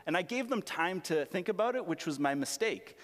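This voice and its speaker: A young male pastor delivers his sermon in a raspy voice that sits a little high. He is earnest but conversational, poking fun at himself. His words ring with the long reverb of a large hall. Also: lively intonation, fairly quick, a bit bright. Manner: earnest, self-deprecating, conversational with humor. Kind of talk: sermon with personal stories.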